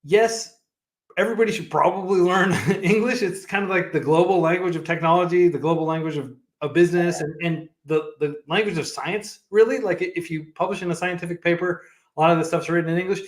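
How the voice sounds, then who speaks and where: A man's voice: sits high, a little fast. A man talking casually as a guest on a livestream, chatty and full of fillers and restarts. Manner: chatty, many fillers and restarts, laughs often. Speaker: founder of a coding nonprofit, guest. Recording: echoey room.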